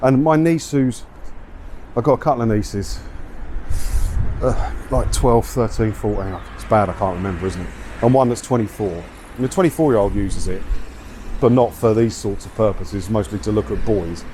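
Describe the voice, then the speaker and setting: A man's voice: on the low side, moderate pace, dark tone. Middle-aged man, casual outdoor chat. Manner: informal, blunt, conversational. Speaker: video creator and host. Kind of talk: casual walking vlog, rambling chat to camera. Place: outdoors, walking around a seaside town. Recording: outdoor ambience with traffic and faint noise.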